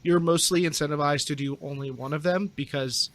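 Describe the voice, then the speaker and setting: Male podcast panelist, slightly high voice, casual and opinionated, talks through hypotheticals. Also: fairly even intonation, a little fast, a bit bright.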